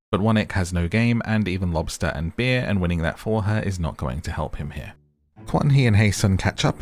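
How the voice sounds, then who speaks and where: Very deep-voiced man recapping a show. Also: creaky, a little mellow. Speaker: host of a recap channel. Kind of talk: casual scripted recap commentary of a reality show.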